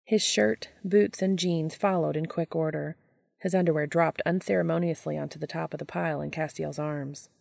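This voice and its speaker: Very creaky, low-voiced woman narrating a story. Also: steady tone, moderate pace, a little mellow, no echo, clean studio sound. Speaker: audiobook reader of fan fiction.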